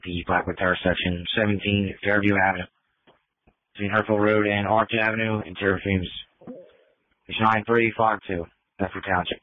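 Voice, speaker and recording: A man working as an emergency dispatcher, reading fire and EMS calls over a two-way radio in clipped, formal phrasing. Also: on the low side. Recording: phone-like fire radio transmission.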